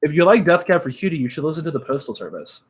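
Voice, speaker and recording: A young man with a nasal voice, chatting casually on a live stream, upbeat and relaxed, full of fillers. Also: a little high, fast, slightly rough. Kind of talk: casual live-stream chat reading comments.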